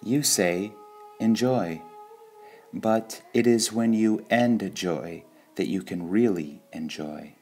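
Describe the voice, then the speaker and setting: A man reading devotional sayings from a script in a measured, deliberate way, pausing between short phrases. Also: fairly low pitch, a little mellow, faint music behind. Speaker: reader of spiritual quotations.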